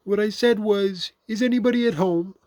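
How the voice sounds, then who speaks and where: A man's voice: a little high, very animated, moderate pace, dark tone, no room sound, pitched above the performer's own voice. A man voicing a bumbling, good-natured, greedy toy bear from a children's storybook, hesitant and given to exclaiming. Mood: calling out, puzzled. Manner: hesitant, repeating himself, exclaiming.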